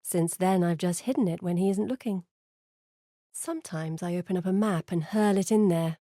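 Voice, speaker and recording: An actress voices a burdened woman explorer from a fantasy video game. Her voice is soft-edged and mellow, recorded very dry and close. She speaks earnestly and with measured, articulate gravity, as a guide who carries heavy responsibility. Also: on the low side, no room sound, natural voice. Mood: wry, confiding. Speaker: video game character voice actor. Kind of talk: dramatic fantasy game dialogue.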